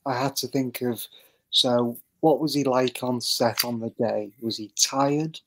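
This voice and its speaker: A man giving a reflective interview as a voice actor on an online call, speaking haltingly as he thinks aloud. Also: mid-range voice, fairly even intonation, fairly bright tone, low-quality recording. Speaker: podcast guest, voice actor.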